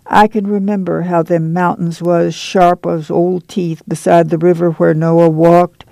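A man voicing a tired, steadfast farm mother with a soft rural drawl, in his own creaky, highish voice. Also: little pitch movement, unhurried, no room sound. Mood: sad.

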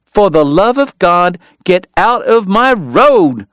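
A stern frontier gambler, ailing but commanding, barking short orders, voiced by a male reader. The voice stays mid-pitched and close-miked with a dry, dead sound. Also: level tone, fairly quick, no echo, close to an everyday voice. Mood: angry. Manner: curt, commanding.

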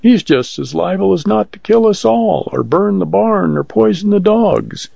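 Fretful, gossipy farm wife for a storybook, played by a man, raised pitch, dull close recording. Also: animated, unhurried, vocal fry, mellow, no room sound, full character voice. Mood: anxious, fussing. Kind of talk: audiobook character voice.

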